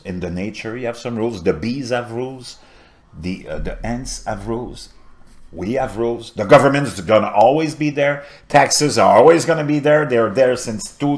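A deep-voiced man in his fifties with a French Canadian accent, speaking English as a second language in an earnest commentary to camera. His delivery drops to low murmurs and then rises into loud, emphatic bursts. He is recorded close to a desk camera in a small home setup. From Montreal. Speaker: political video host. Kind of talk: impassioned political commentary to camera. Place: small indoor home setup with a virtual backdrop.

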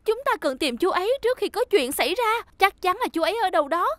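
Very high-pitched cartoon rescue girl. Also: big pitch swings, fast, background noise. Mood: worried. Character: caring, alert young female cartoon rescue team member. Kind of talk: dubbed children's animation acting. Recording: roomy sound with loud music behind.

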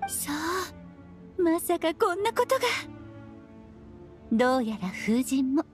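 A woman's voice: sits high, sing-song, slow, darkish tone, soft background music, full character voice. A woman playing a bubbly, whimsical mother in an anime game, chatty, girlish and breezy. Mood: chatty, playful. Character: bubbly, playful adult mother, whimsical adventurer. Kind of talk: Japanese anime game acting.

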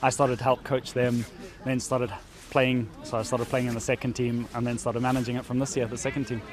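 Middle-aged man, quick, flat intonation, crowd behind. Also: medium pitch. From Zimbabwe. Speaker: team coach and manager. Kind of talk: casual interview. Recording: crowd behind outdoor interview.

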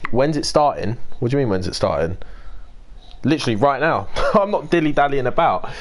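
A young man with a croaky voice, chatting casually and swearing as he livestreams a game and greets viewers by name. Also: medium pitch, big pitch swings, brisk. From United Kingdom. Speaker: streamer. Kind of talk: casual gaming livestream chat.

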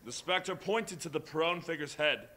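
A man narrating a stage play, steady and storytelling. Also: a little high, a little slow.

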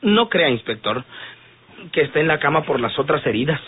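An actor playing a fretful, excitable man in an old radio soap, gushing and agitated. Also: on the high side, normal speed, husky. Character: fretful, excitable man sheltering a wounded friend. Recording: tinny band-limited old radio drama.

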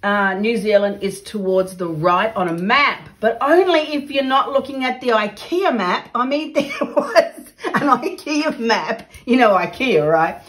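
A woman's voice: a little high, lively intonation, measured pace. Australian woman, artist host, casual and effusive, home-studio demo. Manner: chatty, laughing, exclaims over her work. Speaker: mixed media artist. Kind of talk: casual craft demo chat. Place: home art studio, indoors.

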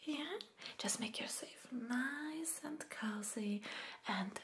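A young woman speaks in a soft, breathy whisper, very unhurried. When any voice comes through it sits a little high. Her manner is soothing and gentle, like a massage therapist in an ASMR roleplay, recorded close to the microphone. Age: young adult. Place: small dim room indoors. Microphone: close microphone off camera.

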